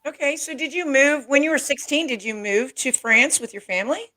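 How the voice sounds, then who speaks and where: A woman hosts a casual interview with a fairly high voice. She talks with eager enthusiasm, restarting and repeating herself as she goes. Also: a little fast, bright, forceful tone. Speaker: interview host. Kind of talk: casual video call interview.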